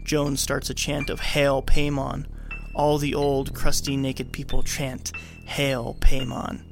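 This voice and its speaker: A man's voice: medium pitch, completely flat delivery, a little slow, creaky, over music. A man co-hosting a film podcast, moving between narrated scene readings and casual discussion. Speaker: podcast co-host. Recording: faint background room noise.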